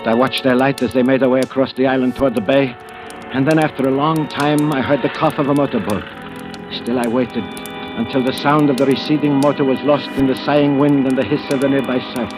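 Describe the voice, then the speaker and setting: An actor playing a nervous, secretive, obsessed treasure hunter in an old radio thriller, speaking haltingly and dramatically. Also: male voice, mid-range voice, fairly even intonation, close to an everyday voice. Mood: terrified. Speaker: actor narrating and playing the lead. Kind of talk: 1940s-50s radio thriller acting, first-person narration. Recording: old radio recording with music.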